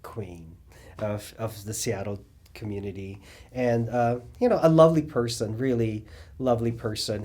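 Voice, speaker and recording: Rambling, animated middle-aged man. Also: mid-range voice. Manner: rambling, animated storytelling with many fillers and restarts. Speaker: interviewee, cabaret singer and drag performer. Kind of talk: oral history interview.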